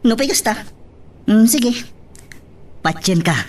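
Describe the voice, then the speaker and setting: A woman's voice: a little high, lively intonation, slow, a little mellow. An actress playing a coy, doubting woman being courted in a radio soap drama.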